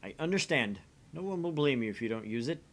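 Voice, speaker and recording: A man's voice: mid-range voice, animated, fast talker, fairly bright tone, pitched above the performer's own voice. A man voicing a theatrical, grumbling young mad scientist, sardonic and dramatic, in a visual novel read-through.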